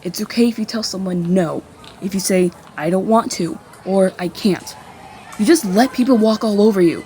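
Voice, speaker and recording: A woman's voice: medium pitch, normal speed, warm tone, slight background noise, close to an everyday voice. A woman acting a hot-tempered, jealous young fairy in an amateur roleplay drama, speaking in a pointed, confrontational way. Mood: hurt, defiant.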